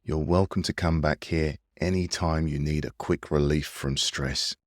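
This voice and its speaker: An extremely low-voiced man narrates a guided breathing meditation. He speaks slowly, with a soothing and gentle manner of instruction. The sound is dry and close to the microphone. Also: steady tone, no room sound. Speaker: meditation guide and mindfulness coach.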